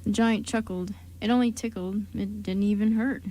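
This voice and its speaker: A woman's voice: mid-range voice, steady tone, normal speed, warm tone, low-quality recording. A woman reading scripted story narration as the narrator of a radio play.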